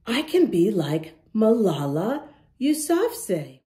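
A woman hosting a children's storytime, with a very soft-edged, mellow voice that swings widely up and down. She takes her time, phrasing each line deliberately and addressing little listeners in an encouraging way. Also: medium pitch, very animated. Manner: encouraging, addresses young listeners directly with questions. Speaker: children's storytime reader and host. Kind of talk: expressive picture-book read-aloud to young children.

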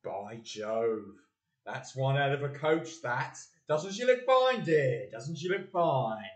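A man's voice: mid-range voice, very animated, slow pace. A man playing a jovial, teasing, grumbling husband in a community audio play. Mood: admiring.

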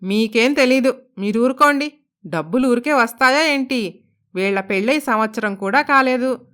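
A stingy, bossy middle-aged mother-in-law from a cartoon moral tale, acted by a woman. Her voice is high and very clean. She talks bluntly, in a scolding, exclamatory way, as someone who will not be argued with. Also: normal speed, a bit bright, close to an everyday voice. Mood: scolding, dismissive. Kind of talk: Telugu animated moral story voice acting.

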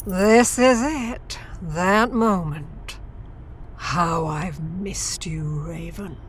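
A woman's voice: fairly low pitch, very slow, slight background noise. A woman playing a serene, cryptic, wistful seer in an audio drama. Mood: serene, knowing.

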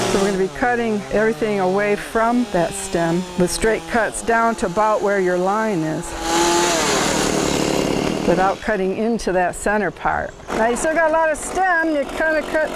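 A woman's voice: medium pitch, a little slow. A woman with an American accent, giving encouraging, casual hands-on instruction as a carver. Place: outdoor yard by workshop. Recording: loud outdoor power-tool noise.

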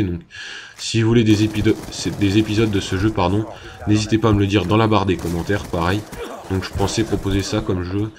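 A man's voice: low-pitched, noisy background. A young man giving casual commentary over a gameplay video, talking hesitantly with many fillers and restarts. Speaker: gaming YouTuber.

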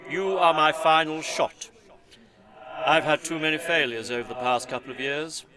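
A man's voice: fairly high pitch, fairly even intonation, normal speed, lightly acted, rough recording. Man as an earnest, weary Christmas spirit, busy crowd behind. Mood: weary pleading. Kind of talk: scripted audio play acting.

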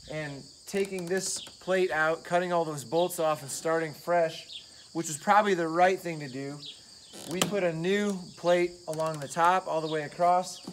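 Plain-spoken farmer outdoors, crickets behind. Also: male voice, on the high side, slight background noise. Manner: plain-spoken, practical explaining. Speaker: farmer and channel host. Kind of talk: casual farm vlog walkthrough. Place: outdoors beside an old barn on a farm. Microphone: handheld camera, often selfie at arm's length. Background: crickets and insects outdoors.

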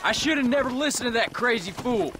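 An actor playing a weary frontier gunslinger, dry, sardonic and clipped, in a video game cutscene. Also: male voice, very high voice, animated, a little fast. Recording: game audio with outdoor ambience noise.

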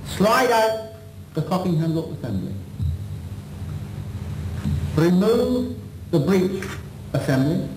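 A man's voice: high-pitched, big pitch swings, slow, long pauses, reverberant, slight background noise, rough recording. A man giving a formal, methodical step-by-step instructional demonstration, on an old videotape copy. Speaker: weapons instructor.

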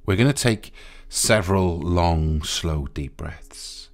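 A man guiding a meditation in a soothing, unhurried way, pausing between short phrases. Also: low voice. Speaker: meditation guide. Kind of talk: guided meditation. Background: music.

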